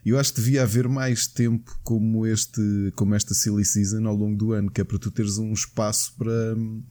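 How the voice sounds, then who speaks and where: A man's voice: lowish voice, flat delivery, fairly quick, warm tone. A man with a European Portuguese accent, co-hosting a podcast in an easygoing chat, often dropping "pá" and "epá". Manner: easygoing, frequent "pá" and "epá" fillers. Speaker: podcast co-host. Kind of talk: casual chat about video games.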